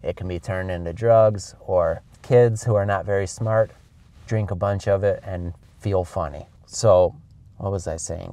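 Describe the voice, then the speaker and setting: A middle-aged man talks in a low, stuffed-up voice while he has a cold. He explains things to learners in a loose, chatty way and keeps calling his viewers guys. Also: mellow. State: congested with a cold. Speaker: English teacher vlogger. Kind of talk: casual explanatory vlog to learners.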